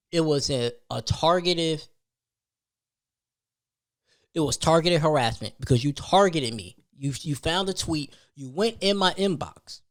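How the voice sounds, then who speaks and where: A man hosting a podcast, telling a personal story as a casual, slangy rant, leaning on repetition and rhetorical questions. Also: fairly high pitch. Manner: emphatic, slangy, repeats phrases and rhetorical questions.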